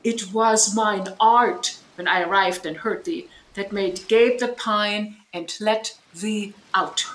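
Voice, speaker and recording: A woman's voice: medium pitch, fairly even intonation, takes it slowly, a bit bright, low-quality recording. An actress playing a stern, imperious sorceress and mother in a Shakespearean audio drama, with declamatory delivery. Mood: threatening.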